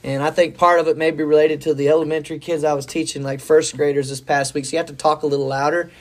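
A hoarse middle-aged man, a pastor co-hosting a podcast, talking in a rambling, folksy and enthusiastic way about faith and church news. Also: on the high side, little pitch movement, fast. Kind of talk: casual faith talk.

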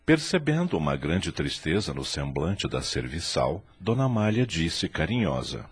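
Deep-voiced radio drama narrator man. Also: sing-song, slightly rough. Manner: measured storytelling.

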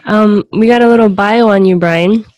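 A woman's voice: mid-range voice, normal speed, a little mellow, no echo. A woman co-hosting a podcast, reading a guest's bio in an upbeat, casual way. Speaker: podcast co-host. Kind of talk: casual chat.

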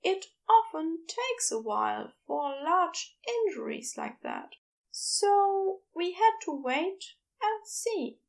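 Woman voicing a gentle, high-voiced bashful man. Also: big pitch swings, slow pace, creaky, exaggerated voice. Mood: tender, relieved. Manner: hesitant, tender, careful phrasing. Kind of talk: audiobook character dialogue.

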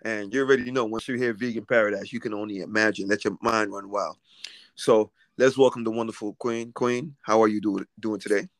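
A man's voice: lowish voice, steady tone, brisk. A Haitian-born man hosting a podcast, chatting casually with his guest in an affirming, friendly way. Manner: warm, affirming, calls guest darling. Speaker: podcast host. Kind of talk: casual interview chat.

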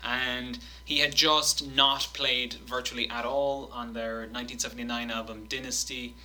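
A man in casual chat, reading long quotes aloud with animated delivery and laughing now and then. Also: medium pitch, moderate pace, low-quality recording.

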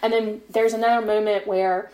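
A woman's voice: medium pitch, flat delivery, moderate pace, darkish tone, poor audio. Woman author chatting reflectively in an interview. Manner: reflective, explains at length with frequent "you know" and "like". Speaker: fan fiction author, interview guest. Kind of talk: casual interview conversation.

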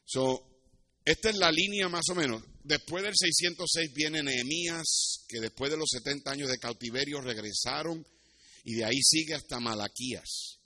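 A man's voice: on the high side, normal speed, sharp tone. A man with a Puerto Rican accent preaching as a pastor, teaching scripture and telling personal stories in a didactic way, with occasional asides in English. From Puerto Rico. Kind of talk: sermon with Bible teaching and personal anecdotes.

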